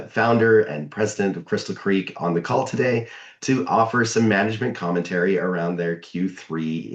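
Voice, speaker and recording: A man with a hoarse, scratchy voice, slightly low-pitched, leading a business interview. He talks haltingly with many fillers, in an echoey room. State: hoarse from a cold. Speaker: firm president hosting a video call.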